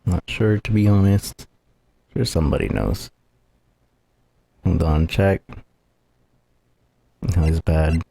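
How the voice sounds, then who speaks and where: A man's voice: low-pitched, slow pace, creaky, pauses often, warm tone, dry recording. A man streaming a video game live, thinking aloud casually and a bit hesitantly to his viewers.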